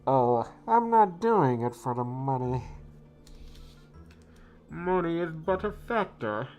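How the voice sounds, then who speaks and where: Woman as studious game mage, low voice, formal, precise, earnest, faint music behind. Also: lively intonation, slow pace, a little mellow. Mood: earnest, composed. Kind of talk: scripted game dialogue.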